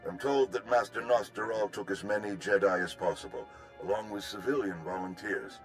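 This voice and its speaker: An actor playing a courteous, formal Republic military overseer in a video game, with polished and effusive speech. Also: male voice, fairly low pitch, normal speed, soft background music, natural voice. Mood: grave, courteous. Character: genteel, courteous male Republic military overseer, tea connoisseur. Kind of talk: video game cutscene acting.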